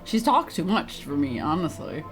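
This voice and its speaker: A woman's voice: deep voice, very animated, moderate pace, rough recording. A woman streamer giving blunt, sarcastic, casual commentary on a game. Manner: blunt, sarcastic, swearing, giggly. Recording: faint game music behind.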